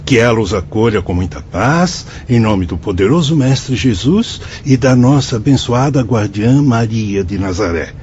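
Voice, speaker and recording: An actor playing a kindly priest who directs a watchtower, speaking in a warm, paternal, welcoming way in a radio drama. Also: male voice, medium pitch, expressive, a little slow, hardly any pauses, slight background noise, natural voice. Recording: dull lo-fi audio with music bed.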